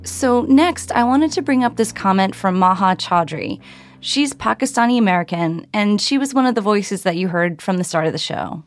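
A woman's voice: mid-range voice, expressive, normal speed. A woman hosting a podcast who asks friendly, curious questions and sums up the discussion. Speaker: podcast host.

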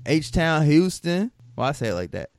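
A man's voice: a little high, sing-song, moderate pace, dry recording, studio quality. A man co-hosting a podcast in casual chat, telling stories in loose slang with lots of fillers. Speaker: podcast co-host.

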